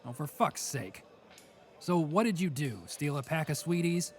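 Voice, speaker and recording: A man's voice: fairly high pitch, moderate pace, faint music behind, close to an everyday voice. Man acting a weary, stern seasoned prisoner. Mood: exasperated. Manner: stern, weary, dry, exasperated. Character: world-weary, gruffly cautioning seasoned male prison cellmate.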